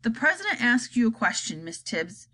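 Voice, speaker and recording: A woman's voice: fairly high pitch, very animated, normal speed, sharp tone, exaggerated voice. A woman voicing a stern, strict old nanny in a children's story, speaking in an icy tone. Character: stern huge old nanny vice president.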